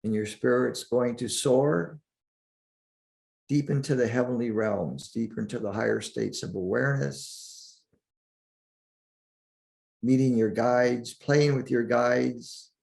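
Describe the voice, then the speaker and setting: Slow, gravelly male meditation guide. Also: mid-range voice, a little mellow. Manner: soothing, deliberate, with long pauses between short phrases.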